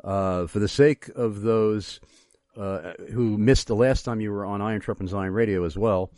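Low-voiced male radio host, effusive and wordy. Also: darkish tone, no room sound, clean studio sound. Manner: effusive, wordy, halting with drawn-out asides. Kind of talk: conversational radio interview hosting.

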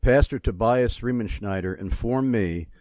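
A man hosting a conversational radio interview in an effusive, wordy manner, halting often for drawn-out asides. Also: lowish voice, a little mellow, dry recording. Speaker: radio host.

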